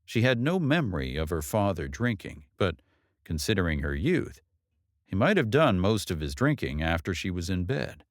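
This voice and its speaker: A man's voice: low-pitched, big pitch swings, normal speed, no echo, studio quality. A man reading a novel aloud as an audiobook narrator, in scripted storytelling style.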